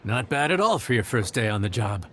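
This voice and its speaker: Animated, encouraging boss. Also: male voice, mid-range voice, fairly quick, a little hiss or hum. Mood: approving. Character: encouraging, approving male boss. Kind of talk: animated fantasy game acting.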